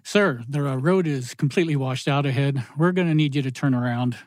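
A man's voice: mid-range voice, fairly quick, a little mellow, no room sound, clean studio sound, close to an everyday voice. A man reading a polite, matter-of-fact police officer at a live table read of a TV drama. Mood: official.

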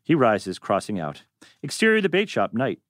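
Animated male script narrator. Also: mid-range voice, moderate pace, dry recording, studio quality. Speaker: stage-direction narrator. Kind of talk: scripted narration at a table read.

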